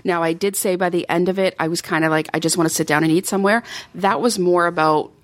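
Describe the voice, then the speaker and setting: Chatty woman with a lowish voice. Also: fast. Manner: chatty, animated storytelling with laughs. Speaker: podcast guest. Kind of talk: casual chat.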